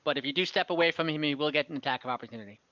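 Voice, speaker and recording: A man with a fairly high, bright voice runs a tabletop game as game master. His delivery is casual and conversational, over slightly dull online call audio. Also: racing, dry recording.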